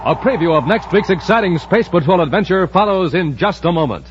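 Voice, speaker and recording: A noisy, muffled vintage radio recording features a male announcer in classic 1950s serial style. His voice is high and leaps around excitedly. Each phrase is punched out with theatrical salesmanship. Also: a little fast.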